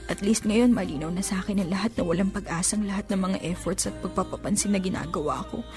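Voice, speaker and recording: A woman's voice: mid-range voice, fast, over music, close to an everyday voice. An actress playing a lovesick, insecure young woman in an emotional, pleading Filipino radio drama style. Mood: hurt, resigned.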